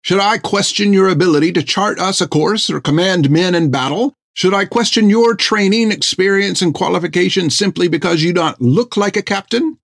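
A man's voice: high voice, moderate pace, vocal fry, few pauses, dry recording, clean studio sound, pitched above the performer's own voice. A man voicing a fussy, pompous male ship's doctor who speaks in a prim, indignant way.

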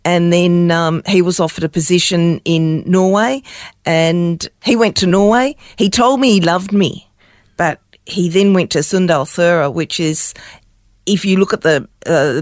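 A woman's voice: on the low side, unhurried, a little mellow, no room sound, clean studio sound. An older woman with an Australian accent, a guest recounting her life story hesitantly, with frequent ums and uhs. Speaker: interview guest. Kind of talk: personal testimony in conversation.

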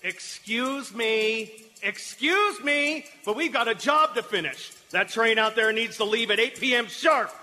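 An actor playing a brisk, commanding factory foreman in a live comic radio play. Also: extremely high pitch, lively intonation, sharp tone, soft background music, exaggerated voice. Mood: urgent. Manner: insistent, commanding. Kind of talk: comic radio theatre acting. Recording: live hall reverb, faint noise.

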